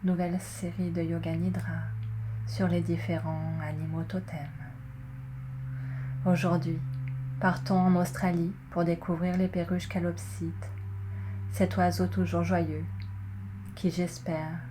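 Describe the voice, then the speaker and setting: A woman guiding a relaxation session in a soothing, measured way, pausing between short phrases as she narrates. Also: fairly low pitch, little pitch movement. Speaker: yoga nidra guide. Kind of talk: guided relaxation, scripted narration. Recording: clearly noisy, ambient tones behind. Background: meditation music with singing bowl tones.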